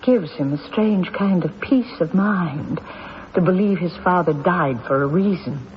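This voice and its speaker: Actress as earnest grieving widow, emotive measured delivery, old radio drama, muffled recording with faint noise. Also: female voice, lowish voice, natural voice. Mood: sorrowful, resigned. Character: earnest, sorrowful, forgiving widow accused of killing husband.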